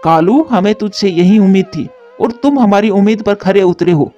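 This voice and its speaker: A man's voice: high-pitched, normal speed, mellow, close to an everyday voice. A man voicing a caring, responsible male pigeon in a children's moral story cartoon. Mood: reproachful. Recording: dull lo-fi track with music.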